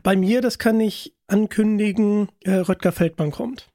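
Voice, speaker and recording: A man's voice: high-pitched, normal speed, warm tone, dry recording, clean studio sound. A man hosting a podcast, interviewing a guest in a casual, chatty style.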